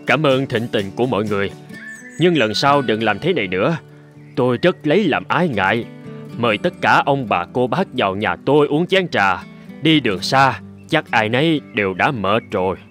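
A man's voice: medium pitch, animated, normal speed, fairly bright tone, soft background music, close to an everyday voice. A man playing a humble, courteous wise man in a cartoon folk tale. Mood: grateful.

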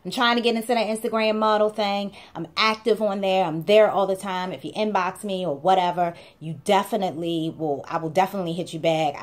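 A young woman talks straight into her camera from a small room. Her delivery is chatty and playful, with emphatic repeated phrases that drive each point home. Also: mid-range voice. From Baltimore, USA. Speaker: blog co-host. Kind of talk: casual talking-to-camera vlog.

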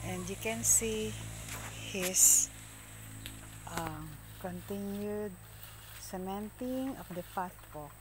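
A middle-aged woman with a Filipino accent, chatting casually in a farm vlog, often laughing and filling gaps with "um", recorded on a phone held at arm's length outdoors. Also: lowish voice. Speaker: farm vlogger. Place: outdoors on a rural farm path. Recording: outdoor phone audio with rural noise.

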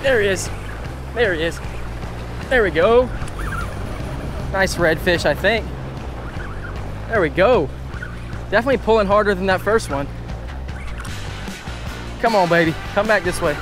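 A young man narrates his jetty fishing from an action camera, pumped and shouting whenever a fish hits. His voice sits fairly high for a man. Music plays clearly beneath the outdoor recording. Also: a little slow, long pauses. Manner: hyped, exclamatory, talks while fishing. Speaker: fishing vlogger. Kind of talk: excited outdoor fishing commentary. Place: outdoors on a rock jetty by rough sea. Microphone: action camera worn on body.

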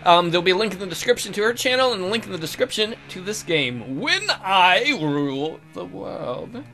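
A man's voice: sits high, very animated, moderate pace, vocal fry, pushed and bright, soft background music. A man hosting a let's play with casual, joking commentary and a teasing manner. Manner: teasing, jokey.

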